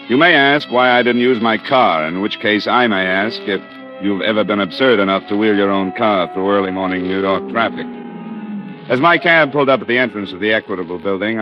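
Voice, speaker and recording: An actor playing a wry, hard-boiled insurance investigator in an old radio drama, narrating and talking in a dry, terse, wisecracking way. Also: male voice, lowish voice, close to an everyday voice. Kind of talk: 1940s-50s radio detective acting and narration. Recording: dull vintage radio recording with music.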